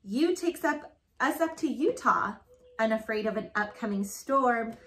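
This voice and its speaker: A woman's voice: fairly high pitch, expressive, unhurried, a bit bright. A woman in her thirties reading a picture book aloud to children, sing-song and encouraging, in a living room. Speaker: storytime reader. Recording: roomy, echoey home recording.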